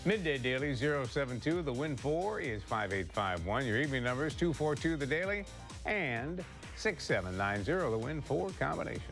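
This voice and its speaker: A man's voice: mid-range voice, animated, moderate pace, gravelly, over music. A man on television reading out numbers as an announcement.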